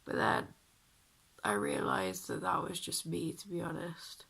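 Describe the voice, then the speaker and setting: A young woman talks to camera in a deep, husky voice that is heavy with vocal fry and croaky from being ill. She speaks slowly and chattily, making fun of herself, with a fairly low pitch. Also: big pitch swings, fairly bright tone. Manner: chatty and self-deprecating, sighing. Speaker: beauty vlogger. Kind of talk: casual chat to camera.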